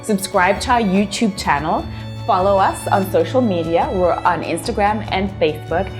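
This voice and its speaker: A woman's voice: mid-range voice, moderate pace, music in the background. A young woman from Zimbabwe who speaks Shona as her first language, hosting an interview show and affirming her guest in long, emphatic questions. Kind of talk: warm encouraging interview and channel outro.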